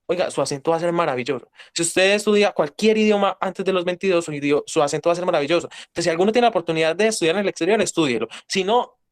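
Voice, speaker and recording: A young man with a Paisa accent talks in a fairly high voice, driving his points home bluntly and emphatically. He sounds like a guest in a casual interview. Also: racing, hardly any pauses. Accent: Paisa Colombian. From Colombia.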